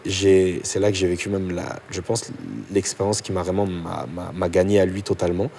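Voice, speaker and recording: A young man from Portugal, a pastor, telling his conversion story in a podcast chat, animated and then hushed at intimate moments. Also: slight background noise. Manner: fast, animated storytelling, drops low and soft at intimate moments. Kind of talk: personal testimony in a podcast chat.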